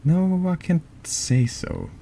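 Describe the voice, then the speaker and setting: A man's voice: high voice, slow, dark tone, voice pushed up. A man voicing an uneasy, evasive priest in a horror text game. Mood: guarded, uneasy. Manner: guarded, evasive. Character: uneasy, guarded priest under questioning. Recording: noticeable background noise.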